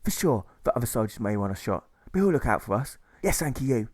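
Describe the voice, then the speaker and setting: Man doing a calm reassuring prisoner. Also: mid-range voice, a little fast, husky, no room sound, studio quality. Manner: soothing.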